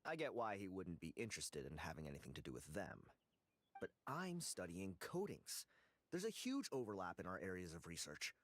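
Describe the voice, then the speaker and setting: A man's voice: low-pitched, wildly swooping pitch, brisk, heavily acted. A man playing a disgruntled, jealous researcher in a video game, curt, defensive and grumbling. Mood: aggrieved. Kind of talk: video game character dialogue.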